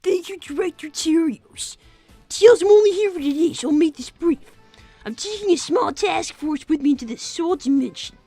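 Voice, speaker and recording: Precocious five-year-old boy, played by a woman, piercingly high, pompous and dry, for a cartoon-style improvised gaming stream. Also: normal speed, dry recording, soft background music. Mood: haughty. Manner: pompous, deadpan, formal wording beyond his age. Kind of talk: improvised in-character gaming livestream.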